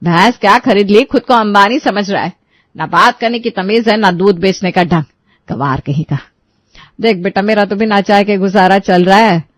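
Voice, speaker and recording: A woman's voice: mid-range voice, normal speed, dry recording, close to an everyday voice. Storybook role: irate elderly Indian matriarch played by a woman, emphatic, grumbling and domineering, in a dry recording. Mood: bitter grumbling. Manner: scolding, emphatic, complaining. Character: irate, bossy, sharp-tongued elderly Indian mother-in-law. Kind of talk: animated moral storybook acting.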